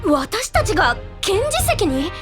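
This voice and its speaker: Eager, girlish young female, polite, extremely high, animated, audio drama. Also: takes it slowly, music in the background, heavily acted. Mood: bright, flustered. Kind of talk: Japanese audio drama voice acting.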